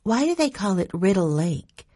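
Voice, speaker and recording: A gentle young girl in a children's story, played by a woman. She speaks earnestly and politely in a slightly husky voice. The sound is close and dry. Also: mid-range voice, sing-song, normal speed, no room sound, pitched above the performer's own voice. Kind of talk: children's audiobook character voice.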